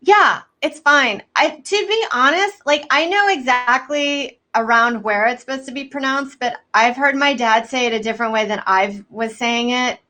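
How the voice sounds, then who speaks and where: A young American woman from Houston chats casually over a video call. Her voice is soft-spoken and bright, sitting a bit high. She talks loosely and animatedly, full of fillers. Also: normal speed, few pauses. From Houston, United States. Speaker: comedian guest. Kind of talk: casual chat.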